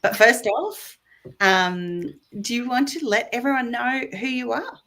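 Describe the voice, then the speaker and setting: A woman's voice: fairly high pitch, expressive, unhurried, a bit bright. A woman from Australia hosting a casual online interview, chatty and friendly, with plenty of fillers. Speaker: host.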